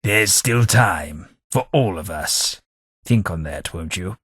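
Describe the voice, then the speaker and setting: A man's voice: deep voice, animated, takes it slowly, fairly bright tone, breathy edge, dry recording, studio quality, full character voice. An actor voicing a sardonic, pompous companion figure who was once a man, speaking with wry dryness in a game. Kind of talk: video game voice acting.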